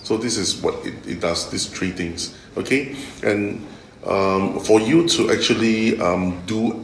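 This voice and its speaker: A middle-aged man with a Malaysian accent giving halting, explanatory interview answers, often repeating words and ending phrases with "okay". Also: lowish voice, slight background noise. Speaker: interviewee, tech executive. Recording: echoey room, music underneath.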